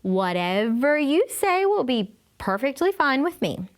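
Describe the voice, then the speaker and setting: A woman's voice: high voice, big pitch swings, pitched above the performer's own voice. Woman playing a patient, bemused male schoolteacher. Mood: calming, accepting. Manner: halting, gently questioning. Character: patient, bemused male first-grade teacher.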